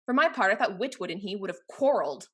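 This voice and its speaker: A woman's voice: medium pitch, animated, quick pace, husky. A woman playing a spirited, fashionable young heiress in a Restoration comedy, haughty, witty and affected. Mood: witty exasperation. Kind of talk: theatrical Restoration comedy acting.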